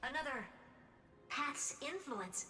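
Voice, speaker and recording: An actress playing a haughty, impatient genius scientist in a video game, brisk and commanding. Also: female voice, high-pitched, animated, bright, forceful tone, heavily acted. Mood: urgent, commanding. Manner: brisk, commanding, self-assured. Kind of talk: scripted game voice acting. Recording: distant echoing transmission under music.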